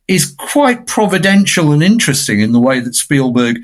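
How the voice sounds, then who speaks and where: A man's voice: a little high, big pitch swings, normal speed. Discursive man talking film, emphatic and conversational. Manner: discursive, emphatic, often seeking agreement.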